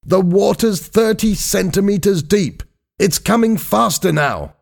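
A man's voice: a little high, big pitch swings, slow pace, darkish tone, pitched above the performer's own voice, heavily acted. A man voicing an exclamatory, urgent male scientist diver in an audiobook story. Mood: worried, impatient. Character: male scientist diver, eager then alarmed and impatient.

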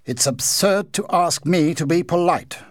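A man's voice: fairly high pitch, a little slow, no echo, voice pushed up. A man voicing a proud, self-pitying old male ghost who speaks pompously and theatrically. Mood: haughty.

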